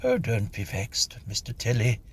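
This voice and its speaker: A man's voice: mid-range voice, huge pitch swings, moderate pace, slight background noise, pitched above the performer's own voice. A man voicing a gushing, wheedling female spirit medium with an effusive, coaxing manner in a dramatised audiobook story.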